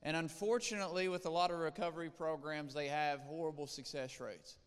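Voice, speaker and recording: A man's voice: a little high, fairly even intonation, fairly quick, smooth. A man from Eastern Kentucky leading a ministry, preaching in an earnest, plainspoken way with frequent fillers. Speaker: ministry leader. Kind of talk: preaching testimony talk. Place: church sanctuary. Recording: long reverb of a church hall.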